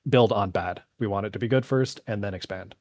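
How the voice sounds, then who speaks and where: Low-voiced, tiring game developer. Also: male voice, a little fast, a little mellow, no room sound. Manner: rambling, technical, thinking aloud. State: voice getting tired after three hours. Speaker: game developer answering community questions. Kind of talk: casual live developer Q&A.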